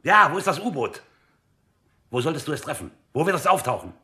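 An actor playing a harsh, impatient military captain in a radio drama, commanding and sardonic as an interrogator. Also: male voice, sing-song, a little fast, bright, forceful tone, exaggerated voice. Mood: aggressive, demanding. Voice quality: low, husky.

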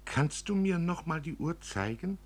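A man voicing a young girl who is quick to anger and asks urgent, emphatic questions in a literary radio reading. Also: fairly high pitch, animated, voice pushed up. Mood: coaxing, urgent.

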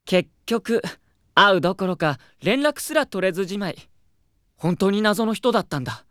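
A man's voice: high-pitched, animated, normal speed, a bit bright, dry recording, studio quality. A man playing a proud, hot-headed young idol singer in an audio drama, earnest and theatrical, also narrating his own story. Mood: reflective narration. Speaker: lead character and narrator. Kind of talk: anime audio drama acting with first-person narration.

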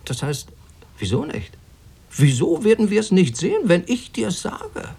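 An actor playing a grumbling, pious ship's cook in a radio drama, scolding and preaching with a husky, creaky voice. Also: male voice, sits high, wildly swooping pitch, a little slow, darkish tone, exaggerated voice. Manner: scolding, preachy, repeats himself.

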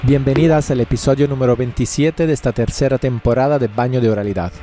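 A man's voice: medium pitch, quick pace. Male radio host over a music bed. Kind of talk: scripted introduction and announcements.

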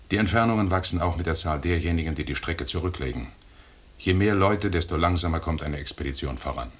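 Authoritative commander, low husky male voice, formal, condescending, lecturing, radio drama. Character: stern, authoritative British expedition commander, coolly condescending. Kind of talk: 1980s German radio drama acting.

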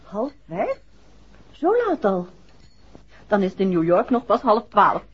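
An actress playing an affectionate, playful, well-off wife in a 1960s radio drama. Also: female voice, mid-range voice, very animated, unhurried, slightly rough. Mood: wry, relaxed. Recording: dull, faintly noisy old radio recording.

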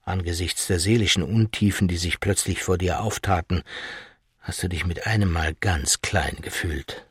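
Deep, husky man reading literary fiction. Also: moderate pace, fairly bright tone, dry recording, studio quality. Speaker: audiobook narrator. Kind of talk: scripted literary narration in second person.

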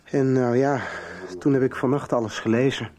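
A man's voice: mid-range voice, steady tone, moderate pace, warm tone, natural voice. Give this to a male actor as an earnest young man in a radio drama. He speaks in a middle register with some hesitation, sincere and searching, as in natural dialogue. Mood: earnest, intense. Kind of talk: radio soap acting.

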